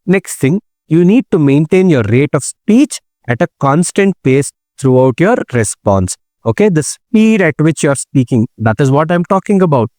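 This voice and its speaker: A man's voice: mid-range voice, warm tone, no echo, clean studio sound. A man teaching an exam speaking task, explaining step by step and asking rhetorical questions, often checking in with "correct?" and "okay". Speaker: test prep instructor. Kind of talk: slide-based exam tutorial.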